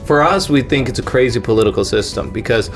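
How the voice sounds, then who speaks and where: A man's voice: mid-range voice, fast, soft background music. A man from the United States hosting a podcast, chatting casually and jokingly, rambling a little and laughing often. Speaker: podcast host. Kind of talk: casual comedic chat.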